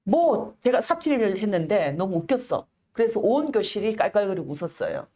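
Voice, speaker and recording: A middle-aged woman telling her own story in an interview, earnest and measured, pausing often and enunciating with care. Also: mid-range voice, very animated, warm tone. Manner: earnest, measured, with frequent pauses and careful enunciation. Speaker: interview guest, activist. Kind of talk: reflective personal storytelling in an interview.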